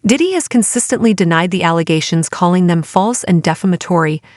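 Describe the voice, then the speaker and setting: A woman narrates a scripted news story in a fairly low voice. Her phrasing is dramatic, like storytelling, and the sound is close and dry with little room to it. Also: animated, fairly quick, darkish tone, dry recording.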